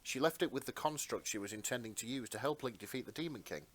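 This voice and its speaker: A man's voice: mid-range voice, fast talker, fairly bright tone. A man narrating fan fiction in a dramatic reading style.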